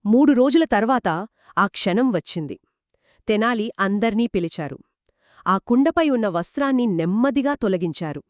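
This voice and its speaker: A woman's voice: on the high side, expressive, normal speed, darkish tone, no room sound, clean studio sound. A woman co-hosting a conversational discussion of a story, explaining it as a storyteller would. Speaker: podcast co-host.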